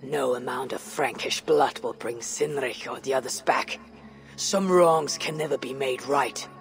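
Guy doing gravelly viking raider, stern n steady, short measured lines, game cutscene w music. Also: mid-range voice, lightly acted. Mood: firm, earnest. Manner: measured, terse. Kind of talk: dramatic video game acting. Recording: music behind the voice.

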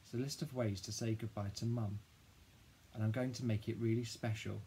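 A man voicing a grieving, dramatic ten-year-old boy who tells his own story in an expressive, husky storybook voice. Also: on the low side, a little fast, a little hiss or hum, lightly acted. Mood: wistful, hopeful. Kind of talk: first-person children's audiobook narration.

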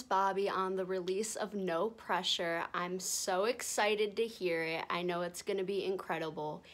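A young woman speaks earnestly into a phone held close to her face, gesturing as she goes. Her voice sits at an ordinary middle pitch. She sits in an armchair in a quiet room at home. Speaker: fan sending a video message. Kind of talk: sincere direct-to-camera message.

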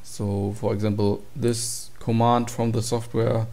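Male tutorial presenter: low pitch, gravelly fry, plain matter-of-fact explaining. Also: little pitch movement, measured pace, warm tone. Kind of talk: informal screen-recorded code walkthrough.

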